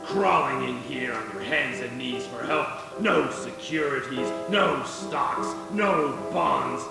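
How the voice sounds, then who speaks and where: A man's voice: mid-range voice, takes it slowly, gravelly. A man playing a cold, cruel old banker in a live radio play, taunting with contempt. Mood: contemptuous. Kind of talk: live 1940s-style radio play acting. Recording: echoey stage, music behind.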